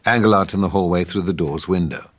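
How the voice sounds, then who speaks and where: A man's voice: low-pitched, fast. A man reading scripted stage directions as the narrator of a table read.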